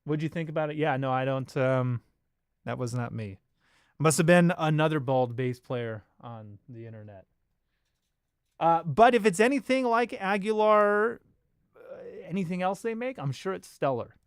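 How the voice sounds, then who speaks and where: A man with an American accent chats off the cuff as a livestream host. His voice sits a little high and is very clean and smooth, recorded close and dry. He rambles informally and jokes along with his viewers. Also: expressive, moderate pace, dry recording. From Los Angeles, United States. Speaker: bass player and livestream host. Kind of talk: casual livestream chat.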